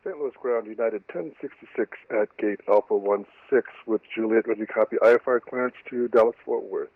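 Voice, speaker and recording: A man's voice: lowish voice, brisk, dry recording. A man playing airline pilot in a flight simulation, making requests and readbacks over the radio. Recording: muffled, phone-like dry radio transmission.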